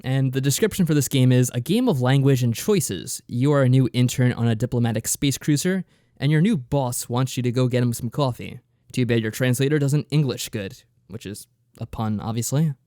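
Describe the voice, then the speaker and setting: A man with a mid-pitched voice talks casually as a podcast co-host. The sound is dry with no room echo, and he explains things plainly with a deadpan, sarcastic edge. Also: fairly quick. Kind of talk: casual review chat.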